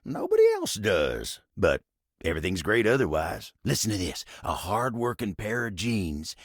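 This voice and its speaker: A man's voice: on the low side, huge pitch swings, moderate pace, no echo, studio quality, full character voice. A man voicing a friendly, humble, hard-working talking table in a video game, with a folksy, neighborly American country drawl. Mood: friendly, relaxed.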